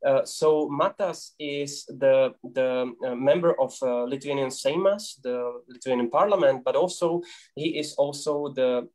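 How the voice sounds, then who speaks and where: A man's voice: medium pitch, darkish tone. A man moderating an online panel, polite and apologetic, with frequent fillers.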